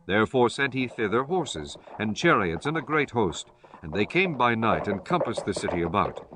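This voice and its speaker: A man narrating dramatized scripture in a solemn, measured storytelling manner. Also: on the low side, big pitch swings, background noise. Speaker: audiobook narrator. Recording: music bed under the voice. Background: music.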